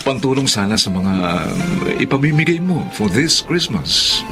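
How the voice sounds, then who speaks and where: A Filipino actor playing an earnest, remorseful young lawyer in a Tagalog radio drama. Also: male voice, medium pitch, big pitch swings, measured pace, loud music behind the voice. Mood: earnest, pleading.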